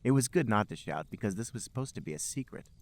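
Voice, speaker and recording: A man's voice: fairly low pitch, lively intonation, quick pace, a little mellow. A man hosting a podcast, reading amateur fiction aloud as comic narration with an emphatic storybook delivery.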